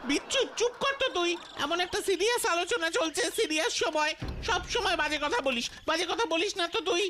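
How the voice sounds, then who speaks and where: A very high, bright-voiced little cartoon boy. He is the sensible sidekick, earnest and bossy, with an exasperated scolding manner. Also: expressive, fast talker, exaggerated voice. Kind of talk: Bengali cartoon voice acting.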